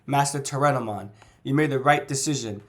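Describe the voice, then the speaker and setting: Calm scarred dinosaur partner, read by a congested man. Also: mid-range voice, fairly quick, natural voice. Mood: reassuring. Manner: steady, reassuring. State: congested from a cold.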